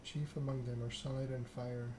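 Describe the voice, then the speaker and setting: A man's voice: medium pitch, level tone, moderate pace, noisy background. A man doing an ASMR read-aloud of a narrative game, occasionally stumbling over the written text. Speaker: gaming channel host.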